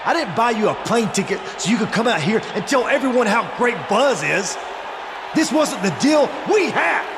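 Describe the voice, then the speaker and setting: A man's voice: very high-pitched, very animated, normal speed, a crowd in the background, heavily acted. An actor playing an arrogant, scheming wrestler, a man giving a goading, theatrical promo to an arena in a wrestling game. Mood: indignant. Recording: echoey arena, clear background noise.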